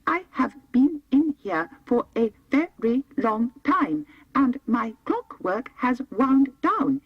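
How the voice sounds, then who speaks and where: A woman voicing a polite, steadfast clockwork man in an audiobook, speaking in a deliberate, measured way, with slightly muffled sound. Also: high-pitched, very animated, breathy edge, dry recording, voice pushed up, exaggerated voice. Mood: measured pleading. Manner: slow, deliberate, measured delivery.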